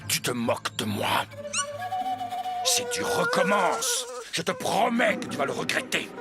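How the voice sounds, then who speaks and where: A man voicing a scheming, bad-tempered hunter in an animated series, menacing and blustering as he exclaims. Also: very high voice, a little slow, full character voice. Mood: angry, threatening. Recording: music behind the voice.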